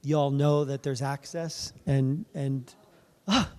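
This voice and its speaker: A man, a fertility doctor, giving a soft-spoken, conversational talk at a conference, with many fillers and restarts. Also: mid-range voice, takes it slowly. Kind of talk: conference presentation. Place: conference room.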